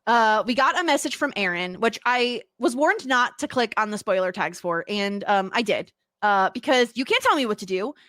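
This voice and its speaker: Bubbly woman with a light vocal fry. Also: fairly high pitch, animated, moderate pace, hardly any pauses, a bit bright, dry recording, clean studio sound. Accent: upstate New York. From United States. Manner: bubbly, laughs often, says like a lot. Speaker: podcast co-host. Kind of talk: casual chat.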